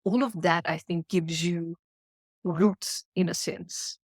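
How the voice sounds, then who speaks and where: A woman in her thirties with a South African accent talks as a podcast guest. Her voice sits a bit low, and she works through ideas slowly and earnestly, asking herself questions as she goes. The sound is dry and close, with no room echo. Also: fairly even intonation, husky. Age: 30s. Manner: earnest, searching, with repeated questions. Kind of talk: reflective interview talk.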